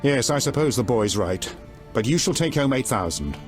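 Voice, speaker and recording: Game monarch: male actor as earthy, authoritative middle-aged king, gruff and profane, animated delivery over faint music. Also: medium pitch, normal speed, lightly acted. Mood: commanding. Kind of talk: video game acting.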